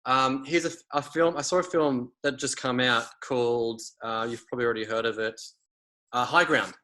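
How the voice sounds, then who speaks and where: Bright-voiced man chatting. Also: medium pitch, steady tone, normal speed. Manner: rambling, many fillers and restarts. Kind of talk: casual conversation.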